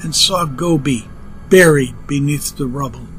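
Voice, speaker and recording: Higher-voiced male audiobook narrator over faint music. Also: unhurried, a bit bright, low-quality recording.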